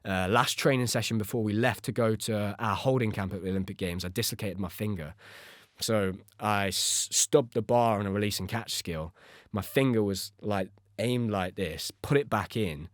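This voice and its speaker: A man's voice: on the low side, moderate pace, no room sound, clean studio sound. An English man in his thirties telling a personal story on a podcast in an earnest, conversational way. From United Kingdom. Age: 30s. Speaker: podcast host and former gymnast.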